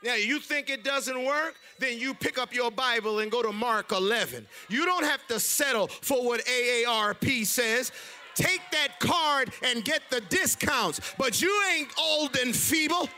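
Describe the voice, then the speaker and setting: A man preaching a sermon in a church, emphatic and loud, mixing humor with exhortation. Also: very high voice, measured pace, soft background music. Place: church sanctuary.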